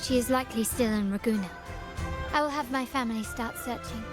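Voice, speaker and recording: A woman's voice: on the high side, a little fast, over loud music, natural voice. An actress playing a poised young noblewoman who leads a family in a video game, formal, composed and courteous. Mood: composed, resolved. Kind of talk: video game cutscene acting.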